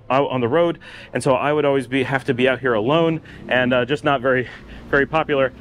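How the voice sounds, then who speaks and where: A man's voice: mid-range voice, slight background noise. A middle-aged man vlogging a walkthrough of an empty house, chatting loosely with lots of fillers, filmed on a handheld camera from the street into echoey rooms. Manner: chatty, rambling, many "uh" fillers. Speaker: vlogger giving a house tour. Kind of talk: casual walkthrough vlog. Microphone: handheld camera held at arm's length.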